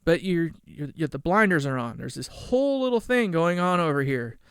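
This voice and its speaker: A man with a high-pitched voice, ranting bluntly in a vlog with casual swearing. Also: very animated, normal speed, a bit bright, clear, resonant tone, dry recording, clean studio sound. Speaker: gear channel vlogger. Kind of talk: opinion monologue vlog.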